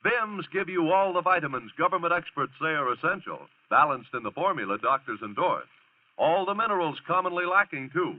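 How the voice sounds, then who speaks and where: Animated 1940s radio ad man. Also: on the high side, fairly quick, no room sound. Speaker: commercial announcer. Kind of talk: 1940s radio advertisement read. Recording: dry vintage radio ad, narrow band.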